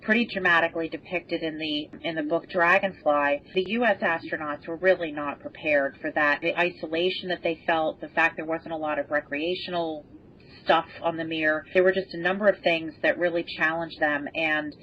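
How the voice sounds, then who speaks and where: A woman speaking as an expert guest on a panel, explaining things in measured detail. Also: steady tone. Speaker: podcast guest, space expert. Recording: muffled lo-fi audio with rumbling noise.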